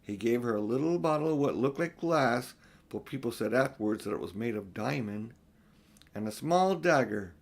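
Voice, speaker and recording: An older man narrating a children's audiobook expressively, with unhurried, deliberate phrasing. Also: mid-range voice, expressive, gravelly. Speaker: audiobook reader.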